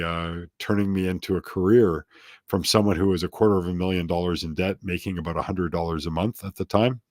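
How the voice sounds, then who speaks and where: A middle-aged man with a very low voice talks as a guest in a casual interview. He drifts onto tangents and pokes fun at himself. His voice sounds close and dry, with no room in it. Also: dry recording. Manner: rambling, self-deprecating, prone to tangents.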